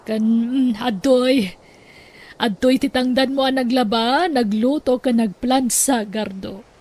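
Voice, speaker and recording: An actress with an Ilocano accent playing a kindly, generous well-off employer in a radio drama. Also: female voice, a little high, slow, a little mellow.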